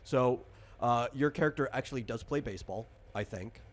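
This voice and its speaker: A man's voice: medium pitch, normal speed. A man hosting and explaining a game in casual chat, thinking aloud in a rambling way. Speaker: game designer and host.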